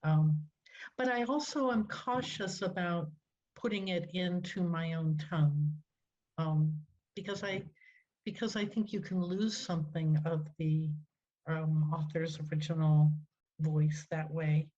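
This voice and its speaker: An older woman, a poet and translator, speaking hesitantly with many pauses on a video call through a headset. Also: deep voice, dark tone. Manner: hesitant, many fillers, thoughtful. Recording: muffled lo-fi call audio.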